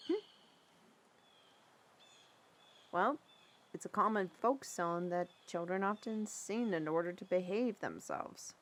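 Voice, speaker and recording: A woman playing a seasoned, frank mentor, plain-spoken, in a fantasy audio drama. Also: fairly low pitch. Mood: musing.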